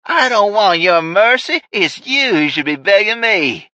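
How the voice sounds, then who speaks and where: A male narrator voicing an unhinged, gloating crime boss villain who shouts in a shrill voice. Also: very animated, unhurried, dry recording, dull audio, heavily acted. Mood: unhinged shouting.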